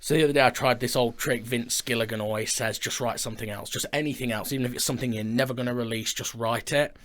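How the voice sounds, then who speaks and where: Man, medium pitch, casual podcast host, chatty, self-deprecating, conversational. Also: steady tone, fast, fairly bright tone. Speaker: podcast host and audio drama writer. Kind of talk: casual conversation, reading out listener questions.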